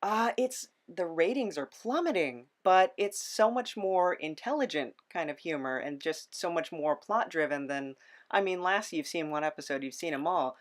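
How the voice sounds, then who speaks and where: A woman's voice: lowish voice, expressive, moderate pace. A woman hosting a casual interview chat, playful, feeling her way through her questions. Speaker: podcast host.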